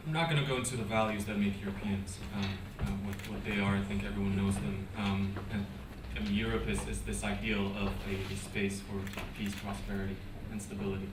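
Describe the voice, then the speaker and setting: A man's voice: fairly low pitch, steady tone, a little fast, few pauses. Hesitant man on a panel, echoey hall. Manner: hesitant, many fillers and restarts. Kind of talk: panel discussion. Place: conference hall. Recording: echoey conference hall from a distance, background noise.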